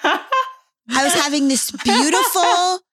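A woman hosts a podcast in a casual, emphatic chat and swears freely. Her voice is fairly high, and the sound is close and dry with no room echo. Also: sing-song, slow pace, fairly bright tone. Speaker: podcast host.

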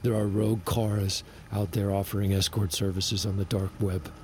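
An actor playing a guilt-ridden, principled head engineer in a modern drama, speaking with bitter intensity. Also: male voice, lowish voice, level tone, moderate pace, background noise, close to an everyday voice. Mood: worried. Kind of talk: naturalistic modern drama acting.

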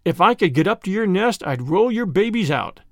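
A brave young mongoose, played by a man reading a storybook speaks in a brisk, determined way. His voice is fairly high with a gravelly grain. It sounds dry and close. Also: very animated, a bit bright, dry recording, full character voice. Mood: impatient.

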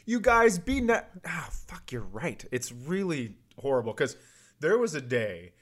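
A man's voice: a little high, very animated, unhurried, fairly bright tone. A man hosting a comedy podcast, bantering casually and telling self-deprecating, profane stories.

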